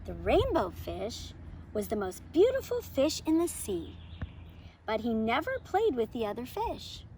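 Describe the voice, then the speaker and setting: Lively high-voiced woman. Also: unhurried, a little hiss or hum. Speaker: host of a children's read-aloud channel. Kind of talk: upbeat lesson intro and storybook read-aloud.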